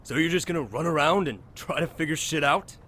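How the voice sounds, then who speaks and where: A man's voice: a little high, a little fast, sharp tone. A man playing a brash, suspicious young survivor in a zombie audio drama, blunt and confrontational.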